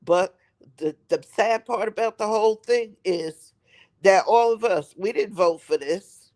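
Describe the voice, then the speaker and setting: A man with a raspy voice from a head cold, rambling on a live stream and repeating himself for emphasis. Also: high voice, unhurried, darkish tone. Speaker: livestream host. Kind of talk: casual live-stream commentary.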